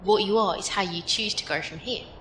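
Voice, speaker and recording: A woman's voice: brisk, a bit bright, close to an everyday voice. A woman playing a plainspoken, philosophical survivor in an audio drama, giving encouraging, matter-of-fact advice. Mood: earnest, reassuring. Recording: roomy echo, faint background rumble.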